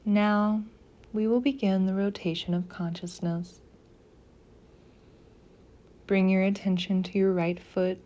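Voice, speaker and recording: A woman leading a guided meditation as a scripted practice, speaking softly and gently in deliberate phrases with pauses. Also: medium pitch, fairly even intonation.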